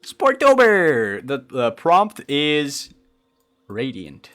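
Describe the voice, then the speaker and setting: A man's voice: a little high, wildly swooping pitch, very slow, a bit bright, smooth. Young man rambling on stream. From Brazil. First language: Portuguese. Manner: rambling, thinks aloud, answers chat, stammers and restarts. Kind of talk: casual livestream chat while building a game creature.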